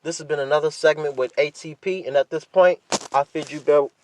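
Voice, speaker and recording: Higher-pitched man in candid, slangy monologue. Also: fairly even intonation, a little fast, noisy background. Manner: blunt, heavily profane, slangy, repeats himself for emphasis. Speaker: podcast host.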